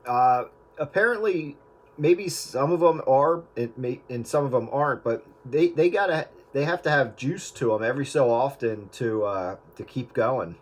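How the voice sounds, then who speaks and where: A man with a mid-pitched voice talks through practical advice in an easygoing, explanatory way. He hosts a casual radio chat and often pauses with fillers. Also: a little hiss or hum. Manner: relaxed, explanatory, frequent fillers. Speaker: radio show host. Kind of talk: casual chat about converting old media.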